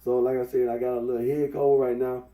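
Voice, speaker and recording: A young man from with a head cold, talking through a face mask in a rambling, halting monologue full of fillers. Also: mid-range voice, flat delivery, dark tone. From Memphis, Tennessee. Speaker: vlogger. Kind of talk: casual Facebook Live monologue. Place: indoors at home. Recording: echoey room, mic at a distance.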